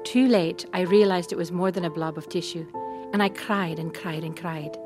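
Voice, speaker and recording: A woman's voice: lowish voice, normal speed. Woman from Scotland telling her testimony. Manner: plain, steady storytelling. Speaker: testimony speaker. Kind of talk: scripted personal testimony. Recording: music bed behind the voice.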